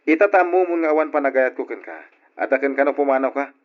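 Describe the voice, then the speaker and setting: A man with an Ilocano Filipino accent playing a smooth-talking, callous philanderer in a radio drama. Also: medium pitch, fast, natural voice. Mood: cold, irritated. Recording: dull old radio drama recording.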